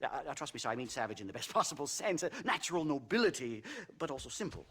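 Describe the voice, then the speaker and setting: An actor playing a pompous, excitable academic professor in a video game, stammering and condescending as he talks. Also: medium pitch, fast, slight background noise. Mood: condescending, excited. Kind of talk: theatrical video game acting.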